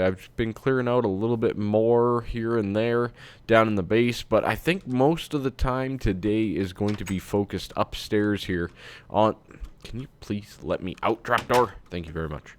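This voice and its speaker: A man's voice: on the low side, dry recording. A man with a stuffed-up, congested voice, chatting casually while he plays a game and thinks aloud as host. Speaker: let's-play host. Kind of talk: casual gameplay commentary.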